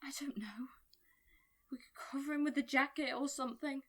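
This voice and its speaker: A practical woman among trapped survivors. Her voice sits fairly high, with an exasperated edge. She plays it naturalistically, as in audio drama. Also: moderate pace, fairly bright tone.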